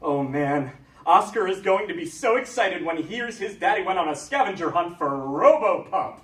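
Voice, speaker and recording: A man's voice: high-pitched, animated, moderate pace, a bit bright, recorded from a distance. A man playing a goofy, overeager dad. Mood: excited. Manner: gushing, over-the-top enthusiasm. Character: goofy, eager dad, childishly excitable. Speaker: single father at a toy launch. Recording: echoey room, voice off-mic.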